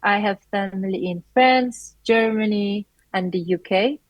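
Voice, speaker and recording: A young woman in her twenties from Turkey, a native Turkish speaker, talks through interview answers in a steady middle pitch. She speaks earnestly and leans on "like" as a filler. The recording is dry and close, with no room sound. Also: unhurried, no room sound. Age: 20s. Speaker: interviewed master's student.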